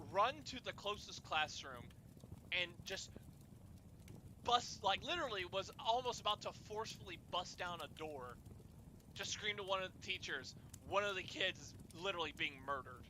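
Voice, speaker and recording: A young man telling personal stories casually, in a halting way with repeated words and restarts. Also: high-pitched, bright, forceful tone, slight background noise. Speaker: storyteller and host of a group chat.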